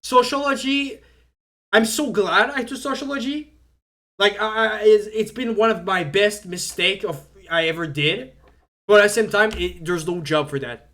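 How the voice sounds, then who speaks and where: A man's voice: high voice, normal speed, pushed and bright. A young man whose first language is French, talking casually on a live stream, rambling with lots of fillers and stumbling restarts.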